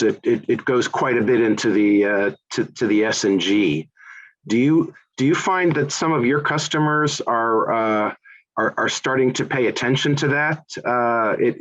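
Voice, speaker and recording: A man's voice: medium pitch, muffled recording. A man from the United States hosting a business interview, asking questions in a hesitant, conversational way with many repeated words. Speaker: interviewer, podcast host.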